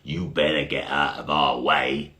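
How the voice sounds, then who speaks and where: A man's voice: rumbling low, flat delivery, a little slow, bright, forceful tone, full character voice. A man voicing a bossy, snappish male beetle bully in a storybook reading. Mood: bossy scheming. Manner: domineering, snappish.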